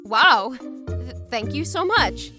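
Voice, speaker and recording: Woman voicing a high, animated young office worker. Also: slow, music in the background, exaggerated voice. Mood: hesitant. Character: eager hot-blooded young female office worker, easily exasperated. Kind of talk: animated manga voice acting and narration.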